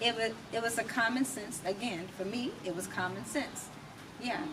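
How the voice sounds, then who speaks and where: A woman's voice: fairly high pitch, moderate pace. Distant, noisy recording of an emphatic American woman. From United States. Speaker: interview guest. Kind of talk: conversational personal storytelling. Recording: distant mic, clearly noisy background.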